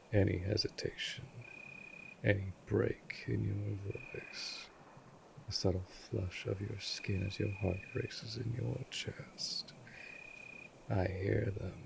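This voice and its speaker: A man's voice: fairly low pitch, vocal fry, noisy background, exaggerated voice. A man voicing a cold, imperious centuries-old vampire lord in an audio roleplay drama, speaking formally and with disdain.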